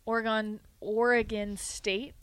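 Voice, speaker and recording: A woman's voice: on the high side, level tone, a bit bright, smooth, dry recording. Female sports radio host, young, South Dakota accent, joking and casual, dry studio mic. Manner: chatty, joking, quick asides.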